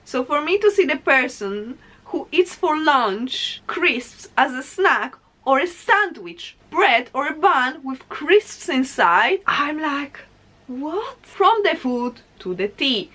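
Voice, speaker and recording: A woman's voice: sits high, big pitch swings, takes it slowly, hardly any pauses, sharp tone. A young woman from Poland with a Polish accent, talking casually and animatedly to viewers as a vlogger. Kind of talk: casual vlog monologue to camera.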